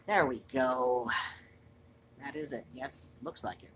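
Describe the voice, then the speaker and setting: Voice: medium pitch, slow pace, slight background noise. A streamer chatting casually to viewers in a rambling, digressive way.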